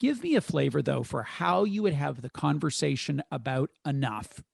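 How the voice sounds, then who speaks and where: Gravelly-voiced male podcast host. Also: on the high side, lively intonation, moderate pace. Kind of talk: conversational interview.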